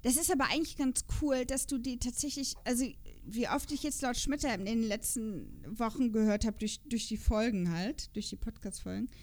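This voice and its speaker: Chatty woman with a high voice. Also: moderate pace, hardly any pauses, darkish tone. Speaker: podcast host. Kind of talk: casual chat.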